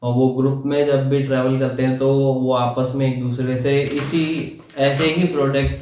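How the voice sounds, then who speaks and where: Male product reviewer, unhurried halting delivery, echoey room sound. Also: mid-range voice, little pitch movement, darkish tone, slight background noise. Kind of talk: casual unboxing and product explanation.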